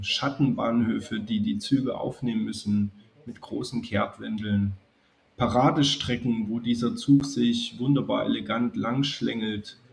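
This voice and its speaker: A man with a cold, addressing his viewers in a calm, chatty way as he narrates a hobby video. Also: medium pitch, gravelly. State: has a cold. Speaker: model railway YouTuber. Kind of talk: casual spoken video narration.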